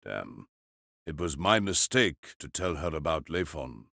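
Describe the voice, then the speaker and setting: A grim male fighter acted out by a man broods in a very low, forceful voice. He mutters curtly and with frustration, as a soldier's inner thoughts in an audio drama. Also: big pitch swings, a little slow, creaky, no room sound, clean studio sound, full character voice. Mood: grim, frustrated.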